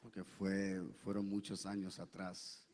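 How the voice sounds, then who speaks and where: A pastor gives his testimony in a church, his voice low and mellow. He speaks earnestly and haltingly, with frequent pauses and fillers. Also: monotone. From Puerto Rico. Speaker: pastor, guest and interpreter. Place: church sanctuary.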